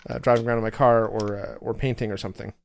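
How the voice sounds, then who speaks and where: A man's voice: medium pitch, rapid-fire, vocal fry. A man co-hosting a hobby podcast, chatting casually with frequent restarts. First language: not English. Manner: relaxed, rambling, with frequent restarts. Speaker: podcast co-host. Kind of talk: casual chat.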